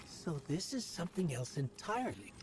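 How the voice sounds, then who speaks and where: A thoughtful sci-fi companion muses with curiosity in a fairly high, lively voice. It is video game dialogue from a puzzled analyst, with loud music behind. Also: male voice, normal speed. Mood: puzzled musing. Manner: musing, inquisitive.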